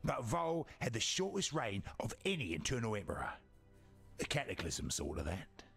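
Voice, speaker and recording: An actor voicing a sly, roguish male trader in a video game, with a gravelly, husky voice. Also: medium pitch, big pitch swings, moderate pace, fairly bright tone, soft background music, heavily acted. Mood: wry, knowing. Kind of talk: scripted video game character dialogue.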